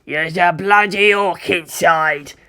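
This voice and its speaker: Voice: medium pitch, slow pace, bright, forceful tone, clean tone. A narrator voicing a blunt, crude, brutish ork war boss from a fan story. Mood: boisterous.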